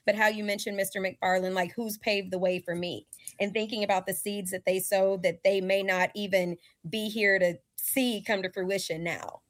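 A woman's voice: medium pitch, fairly even intonation, normal speed, no room sound, studio quality. A young woman with Southern roots, speaking earnestly as a community organizer on a panel call, with frequent fillers. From Southern United States.

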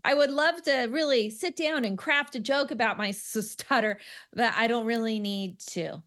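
A woman's voice: a little high, normal speed, a bit bright. A woman stand-up comic who stutters, talking casually in a radio interview with many restarts and easy laughter. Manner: frequent fillers and restarts, laughs easily. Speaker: stand-up comedian.

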